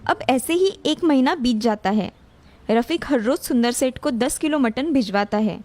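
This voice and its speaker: A woman's voice: high-pitched, expressive, normal speed, dark tone. A woman reading a scripted children's story as narrator, with music behind her. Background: background music.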